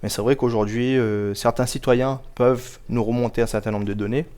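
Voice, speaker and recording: A man giving explanatory answers as an interview guest, measured and formal, pausing often to choose his words carefully. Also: medium pitch, fairly even intonation, darkish tone, smooth. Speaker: guest, local government project officer.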